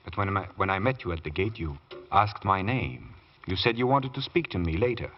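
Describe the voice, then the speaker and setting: A deep, brooding manservant in a vintage radio thriller. He is formally servile with bitterness underneath, on a dull, muffled old recording. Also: animated, a little fast, a little hiss or hum. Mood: polite, uneasy. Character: deferential, brooding male valet, secretive and bitter. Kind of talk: 1940s radio drama acting.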